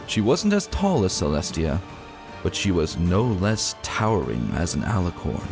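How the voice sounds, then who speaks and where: A man with a low voice narrates a dramatic fantasy tale. His delivery is solemn and theatrical, with lively swings in pitch, over music. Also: moderate pace. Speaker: audiobook narrator. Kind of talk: dramatic fantasy story reading. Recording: music behind the voice.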